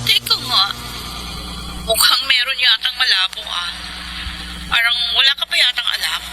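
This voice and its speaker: An actor playing a flirtatious, pushy, excitable sweetheart in a radio drama, effusive and huffy. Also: male voice, extremely high pitch, normal speed, very noisy. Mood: huffy, insistent. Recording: loud street-like ambience.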